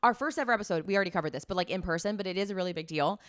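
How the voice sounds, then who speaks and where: A woman co-hosting a casual podcast chat with a slightly raspy voice, telling stories playfully. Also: on the low side, rapid-fire, no echo, studio quality. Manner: playful storyteller, calls others "sweetie". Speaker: podcast co-host. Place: in-person studio or room in Los Angeles.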